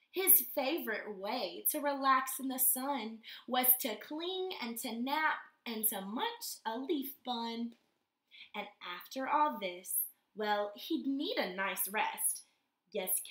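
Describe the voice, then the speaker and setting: A woman's voice: a little high, reverberant. A young woman reading a picture book aloud to children, stopping to ask them questions. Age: young adult. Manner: animated, asks children questions between pages. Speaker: YMCA storytime reader. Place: room in a house, indoors.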